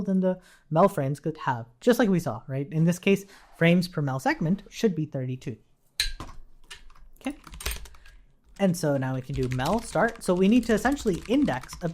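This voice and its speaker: A woman giving an informal programming tutorial, explaining as she types and often checking in with a quick "right?". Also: deep voice, a little mellow, a little hiss or hum. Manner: checks understanding with frequent "right?". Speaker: programming instructor. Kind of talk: informal coding tutorial, explaining while typing.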